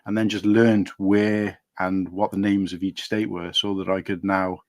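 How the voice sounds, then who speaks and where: Welsh man, low voice, dry close sound, hesitant casual chat. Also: flat delivery, a little slow, dry recording. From Wales. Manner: rambling, hesitant with fillers and restarts.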